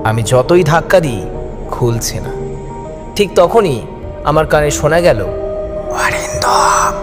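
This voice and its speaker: A man's voice: on the high side, expressive, a little slow. A man narrating a first-person horror story in a suspenseful, theatrical style. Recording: music behind the voice. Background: background music.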